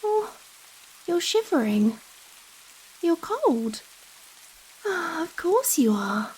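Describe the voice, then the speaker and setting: Woman acting a slow, high-voiced giant vampire seductress. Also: exaggerated voice, poor audio. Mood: tender, caring. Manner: slow, coy, coddling talk with pet names. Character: giant female vampire, gentle, teasing, possessive and dominant. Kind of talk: intimate ASMR roleplay acting. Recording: rain falling behind the voice. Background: steady rain.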